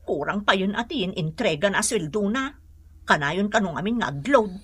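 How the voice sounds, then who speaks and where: An actress playing a suspicious, bossy, nagging wife who scolds and demands in a radio comedy. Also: female voice, medium pitch, animated, normal speed, a bit bright, breathy edge. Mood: complaining. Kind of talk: comedy radio drama acting.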